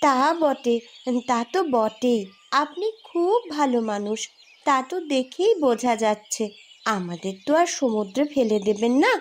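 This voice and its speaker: A woman's voice: fairly high pitch, animated, unhurried. A woman voicing a cautious, earnest young eldest sister, a princess, in a fairy-tale cartoon. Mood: placating. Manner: earnest, placating, admonishing.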